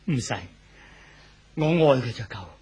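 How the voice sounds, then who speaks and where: An actor playing an anxious, clinging older husband in a 1970s radio drama, pleading and insistent, with a Hong Kong Cantonese accent. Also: male voice, medium pitch, lively intonation, measured pace, a little mellow, slightly rough. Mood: stubborn, defensive. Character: anxious older husband clinging desperately to his unfaithful wife.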